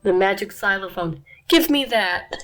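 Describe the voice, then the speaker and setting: A woman's voice: medium pitch, animated, moderate pace, fairly bright tone, soft background music, lightly acted. Playful cartoon dog dad, light and jokey, read by a woman.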